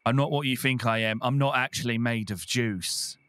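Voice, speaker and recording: A man's voice: mid-range voice, fairly even intonation, moderate pace, fairly bright tone, smooth, dry recording. A man chatting casually as a player in a tabletop game, playful and informal. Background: faint guitar music.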